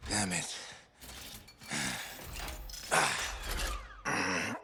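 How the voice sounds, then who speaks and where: An actor playing a gruff, stubborn veteran freelancer who grumbles and swears at his broken gear, in a game cutscene. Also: fairly low pitch, sing-song, drawn-out words, background noise, heavily acted. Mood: frustrated. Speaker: pilot of an exosuit. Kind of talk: video game cutscene acting.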